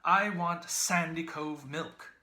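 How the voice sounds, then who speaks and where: A man's voice: fairly high pitch, takes it slowly, pushed and bright, roomy sound, pitched above the performer's own voice, full character voice. A man voicing a boisterous, theatrical young Irish joker who exclaims and teases, in a literary reading. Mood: bossy, irritable. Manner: declamatory, exclaiming, teasing. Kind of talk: literary audiobook character voice.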